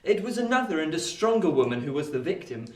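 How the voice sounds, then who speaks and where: An actor playing a kindly, attentive young gentleman in a radio play, with a gentle, solicitous and theatrical way of talking. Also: on the high side, big pitch swings, fast talker. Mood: grave storytelling. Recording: roomy, distant-microphone recording.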